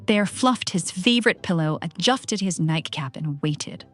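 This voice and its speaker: Woman storyteller, children's read-aloud, lively intonation, measured pace, faint background music. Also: fairly low pitch. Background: soft music.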